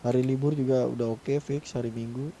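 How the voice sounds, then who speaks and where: Very mellow man, slightly rumbly mic. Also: steady tone, fairly quick, a little hiss or hum. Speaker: roleplay gang member helping lead a meeting. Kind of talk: casual group discussion in an online game.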